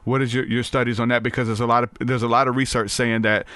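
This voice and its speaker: A man hosting a podcast interview, asking questions in a casual, halting way with many fillers. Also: medium pitch, flat delivery, pushed and bright, no room sound. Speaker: podcast host.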